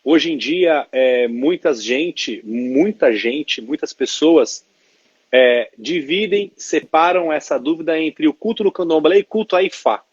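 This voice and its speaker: A man's voice: on the high side, expressive, a little slow, husky, no room sound. A man hosting a live stream, asking hesitant questions with many fillers. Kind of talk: interview questions. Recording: dry, dull lo-fi stream audio.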